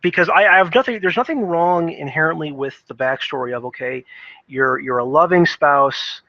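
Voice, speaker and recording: A man with a bright, forceful voice talks through his opinions on video games without a script. He halts and repeats himself as he works out his point. The recording is dry and close but slightly dull, like a lo-fi home microphone. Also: fairly high pitch, no echo. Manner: halting, repeats and restarts phrases. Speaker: video game commentator. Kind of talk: unscripted opinion commentary.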